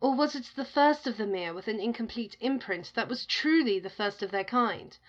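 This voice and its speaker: A female narrator tells a scripted legend in a highish voice. The sound is a little dull, with the top end rolled off. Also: lively intonation, normal speed. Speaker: narrator of a tale within the story.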